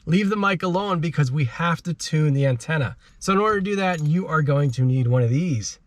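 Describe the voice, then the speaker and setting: A man's voice: on the high side, lively intonation, a little fast, no room sound. A man talking casually and informally as the host of a do-it-yourself car vlog, using plenty of slang as he explains things. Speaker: host of a car DIY channel. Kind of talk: casual how-to vlog talk.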